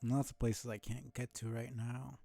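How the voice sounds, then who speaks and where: A man's voice: mid-range voice, flat delivery, normal speed, gravelly, dark tone, no echo, faint music behind. A man streaming a game live, thinking aloud casually, often correcting himself and swearing mildly. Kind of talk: casual live gameplay commentary.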